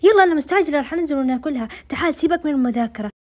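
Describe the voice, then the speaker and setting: A playful little cartoon fairy acted by a woman with a Saudi accent and a piercingly high voice. The fairy rattles along at breakneck speed, coaxing and insisting like a greedy pal. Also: expressive, racing, darkish tone. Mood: urging, playful. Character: playful, pushy, cake-loving little fairy godparent. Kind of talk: amateur cartoon dub.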